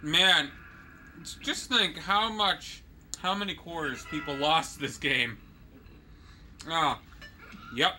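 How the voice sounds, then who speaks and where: A man co-hosting a game let's play, joking and teasing the player with animated commentary. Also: a little high, takes it slowly, pauses often, slight background noise, low-quality recording. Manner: teasing, animated, exclaims and laughs often.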